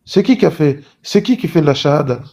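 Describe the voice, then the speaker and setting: A man's voice: on the high side, expressive, brisk, warm tone. A man arguing insistently in a heated online debate, lecturing the others. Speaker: debate participant.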